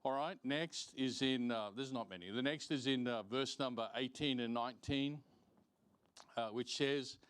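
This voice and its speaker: A man teaching a Bible lesson as a preacher, deliberate and emphatic, repeating phrases for stress and reading out verses. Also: mid-range voice, fairly bright tone, clear, resonant tone. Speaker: preacher teaching Sunday school. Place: church, live-streamed service.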